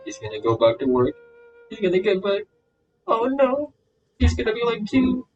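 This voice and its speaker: A man doing casual live gameplay commentary, excitable and repeating short phrases as he reacts. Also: sits high, moderate pace, lo-fi sound. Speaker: streamer reacting to a game. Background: game music.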